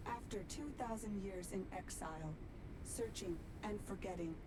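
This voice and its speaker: A woman's voice: medium pitch, normal speed, background noise, natural voice. A woman voicing a coldly logical machine intelligence in a video game, with clinical, clipped delivery. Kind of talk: dramatic video game voice acting. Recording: echoing, under loud game music.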